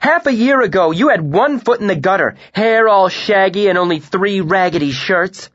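A man voicing a proud, sharp-tongued young woman who speaks with biting scorn. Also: a little high, expressive, moderate pace, husky, no room sound, dull audio, pitched above the performer's own voice. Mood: scornful anger.

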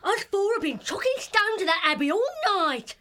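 A narrator voicing a weary, defiant female weasel who complains and argues in rough, ungrammatical speech in a storybook. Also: very high-pitched, animated, a little slow, a bit bright, pitched above the performer's own voice, heavily acted.

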